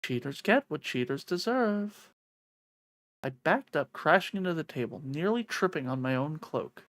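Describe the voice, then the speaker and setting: Game streamer, animated mid-pitched voice, dramatic narration and sweary asides, very dry sound. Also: normal speed, no room sound. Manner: expressive, excitable, sweary. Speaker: streamer playing and reading a visual novel aloud. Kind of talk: dramatic read-aloud with casual profane reactions.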